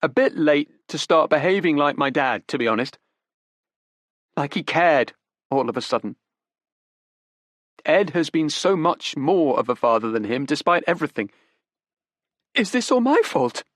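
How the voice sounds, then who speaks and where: A man's voice: expressive, unhurried, pauses often, a bit bright, dry recording, clean studio sound. A man voicing a distraught young Englishwoman in an audiobook, pleading and shaky. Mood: desperate. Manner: breathless, pleading, high and shaky. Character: distraught young woman, tearful and desperate. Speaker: murder suspect's daughter. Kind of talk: audiobook character voice.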